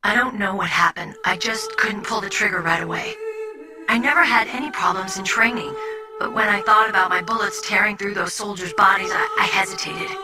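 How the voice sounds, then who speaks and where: A woman's voice: medium pitch, animated, a little fast, lightly acted. Woman acting a headstrong young rookie soldier. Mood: shaken, earnest. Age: young adult. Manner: earnest, defiant. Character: young, headstrong rookie female soldier, eager yet vulnerable. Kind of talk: video game voice acting. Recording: music behind the voice.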